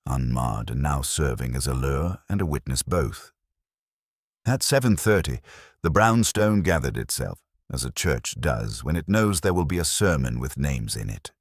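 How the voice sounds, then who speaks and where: Very deep-voiced male detective-story narrator. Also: no echo, clean studio sound. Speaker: audiobook narrator. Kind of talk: scripted first-person detective story narration.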